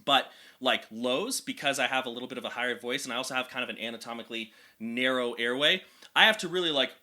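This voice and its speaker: This young man's voice is very bright and sits higher than most men's. He talks in an energetic, chatty way as a vocal coach, going into technical detail on how the voice works. His pitch is moderate for a man. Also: fast talker. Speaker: vocal coach reacting to music. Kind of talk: casual reaction and explanation.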